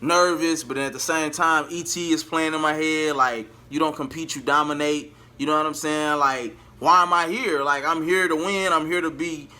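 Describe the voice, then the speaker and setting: A male vlogger recounts things in a casual, slangy way. His voice sits a little high and has a bright, punchy edge. He talks straight to his viewers and acts out bits of dialogue as he goes. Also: fairly even intonation, normal speed, hardly any pauses. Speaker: vlogger and amateur singer.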